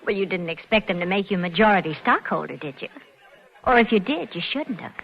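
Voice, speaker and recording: An old, muffled radio recording holds a husky American female voice with lively pitch. She is a knowing noir girlfriend, wry, worldly and free of scruples. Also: a little fast. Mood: wry, probing. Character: worldly, unscrupulous, wry young girlfriend of a schemer. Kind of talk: 1940s radio noir acting. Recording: dull vintage radio recording.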